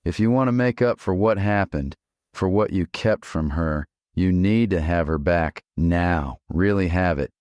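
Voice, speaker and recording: Close and dry, a deep-voiced man who is a figment of someone's mind. He is blunt and sardonic in a noir podcast drama, nagging with fatherly care. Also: a little slow, darkish tone, no room sound. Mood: concerned, insistent. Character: blunt, nagging hallucinated man, paternal and caring. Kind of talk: audio drama acting.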